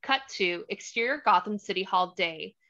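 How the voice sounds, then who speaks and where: A woman's voice: mid-range voice, lively intonation, moderate pace, bright, forceful tone. A woman reading stage directions as the narrator of a screenplay table read. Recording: slightly dull lo-fi audio.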